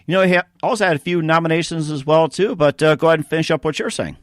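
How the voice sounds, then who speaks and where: Male interview host, fairly high voice, choppy and halting, close dry sound. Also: no echo. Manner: halting, choppy phrasing with repeated tag words. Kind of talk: casual radio interview.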